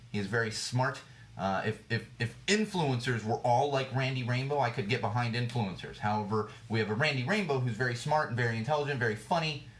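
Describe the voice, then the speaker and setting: A man hosting a talk show, giving blunt, indignant commentary on the news, repeating phrases for emphasis, and a little hoarse as he loses his voice. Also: medium pitch, a bit bright, echoey room, a little hiss or hum. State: slightly hoarse, losing his voice. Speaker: podcast host. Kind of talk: opinionated news commentary rant.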